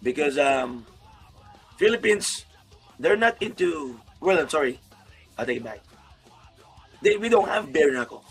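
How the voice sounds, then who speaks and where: A man's voice: fairly high pitch, animated, measured pace, long pauses, breathy edge, soft background music. A man hosting a casual interview over a video call, friendly and rambling, laughing often. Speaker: YouTube host, interviewer.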